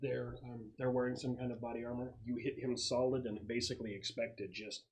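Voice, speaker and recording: A man's voice: medium pitch, flat delivery, a little fast. A man running a tabletop roleplaying game as game master, casually narrating rules and action. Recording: noisy home recording with clicks.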